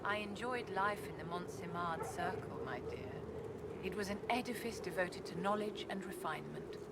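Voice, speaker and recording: Over a loud bed of ambient noise, an actress voices a haughty court mage with a lowish woman's voice. She is refined, shrewd and condescending, lecturing in poised, measured phrases as in a fantasy video game. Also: close to an everyday voice. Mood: proud, composed. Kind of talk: scripted video game dialogue.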